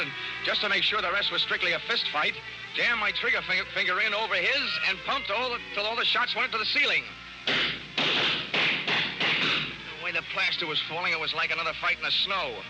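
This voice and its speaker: An American actor playing a cocky, hard-boiled insurance investigator, narrating and acting sardonically in a 1940s radio detective drama. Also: male voice, high voice, natural voice, poor audio. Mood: punchy, excited. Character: cocky wisecracking tough male insurance investigator. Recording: old 1940s radio recording with music.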